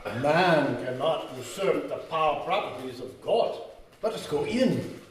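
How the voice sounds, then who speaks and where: A grave older mentor in an old-time radio horror play, acted by a man admonishes with solemn, theatrical emphasis. The high voice rises and falls widely. It is recorded in a heavily echoing hall with some faint noise. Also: lively intonation, unhurried. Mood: stern. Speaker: actor in a live radio drama. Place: live stage in a community hall. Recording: echoey hall, distant mic, faint noise.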